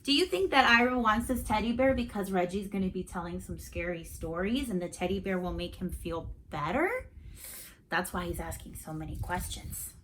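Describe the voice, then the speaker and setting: A woman reading a children's story aloud expressively, pausing to put questions directly to young listeners. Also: lowish voice, lively intonation, a bit bright, a little hiss or hum, poor audio.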